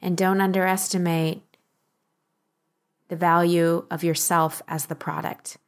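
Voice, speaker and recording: A woman's voice: on the low side, level tone, a little slow. A woman hosting a coaching podcast, talking in an encouraging, instructive manner as she explains. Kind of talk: life coaching talk, explanatory.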